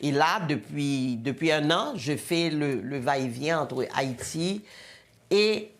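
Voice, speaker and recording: A woman's voice: deep voice, expressive, slow. A middle-aged woman with a Haitian accent explaining her work as a guest in a conversational interview. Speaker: show creator, interview guest.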